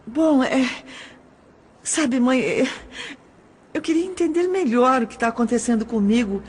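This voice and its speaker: A woman's voice: high-pitched, animated, measured pace, darkish tone, breathy edge, full character voice. A woman voicing a wounded, insecure adopted orphan girl in an audio drama, with a Brazilian accent and an emotional, plaintive delivery. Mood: hesitant, confused. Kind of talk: Portuguese-language audio drama acting. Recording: long hall reverb.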